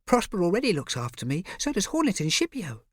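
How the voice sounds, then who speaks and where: A man voicing a small, sleepy, curious boy who talks bluntly in a high childish voice. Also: wildly swooping pitch, fast talker, husky, no room sound, clean studio sound, heavily acted.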